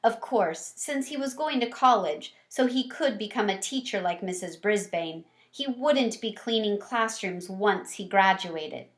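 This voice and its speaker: A woman reading a children's book aloud as a storyteller, in an expressive teacherly way. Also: medium pitch, unhurried, few pauses.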